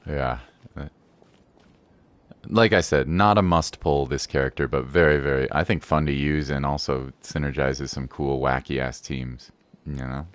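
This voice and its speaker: A man streaming a game, chatting casually in a slangy, jokey, rambling way. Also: extremely low pitch. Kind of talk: casual livestream chat over gameplay. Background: game music.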